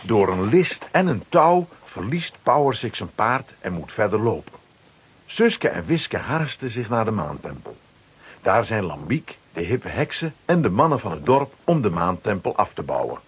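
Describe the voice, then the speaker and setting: A man's voice: fairly low pitch, very animated, unhurried, a little hiss or hum. A man narrating a children's radio play from a script, dramatically.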